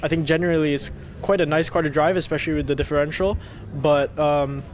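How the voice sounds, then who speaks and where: A man's voice: a little high, flat delivery, fast talker. A young man from Singapore, a racing driver answering interview questions casually and a little hesitantly. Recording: clear crowd chatter behind, outdoors.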